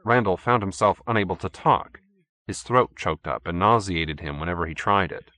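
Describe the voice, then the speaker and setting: A man's voice: low voice, moderate pace, bright, forceful tone, no room sound. A man reading fiction as an audiobook narrator, in scripted storytelling style.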